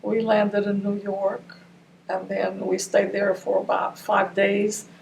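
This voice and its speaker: A woman's voice: mid-range voice, little pitch movement, slow pace, gravelly, darkish tone. An older woman from Ramallah whose first language is Arabic, recalling her childhood in an oral history interview. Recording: distant mic with faint noise.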